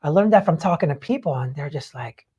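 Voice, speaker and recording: A man's voice: a little high, expressive, fast, mellow, slightly rough, highs cut off. A man hosting a podcast in casual motivational talk, explaining things in an informal, rambling way. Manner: informal, rambling explanations peppered with "like" and "you know". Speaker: podcast host.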